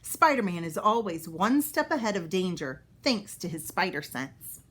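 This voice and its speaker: Animated woman reading a children's book aloud. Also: lowish voice, moderate pace, breathy edge. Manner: expressive, with explanatory asides for young listeners. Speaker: read-aloud storyteller.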